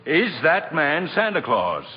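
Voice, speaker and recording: An actor playing a stern, indignant district attorney in a dull-sounding 1940s radio drama. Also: male voice, on the high side, huge pitch swings, unhurried, slightly rough, full character voice. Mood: insistent. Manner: insistent, formal, indignant. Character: exasperated stern male prosecuting district attorney, a married father.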